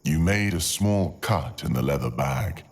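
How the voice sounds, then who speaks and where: A man narrating a scripted fantasy tale in an ASMR style, with dramatic, deliberate phrasing and long pauses. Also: very deep voice, lively intonation, soft background music. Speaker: audio storyteller, narrator.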